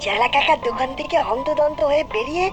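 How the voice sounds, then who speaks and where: Cartoon boy lead played by a woman: high-pitched, confident, bossy little schemer, music underneath. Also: quick pace, natural voice. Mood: plotting. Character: clever, bossy ten-year-old boy detective prankster. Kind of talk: cartoon voice acting.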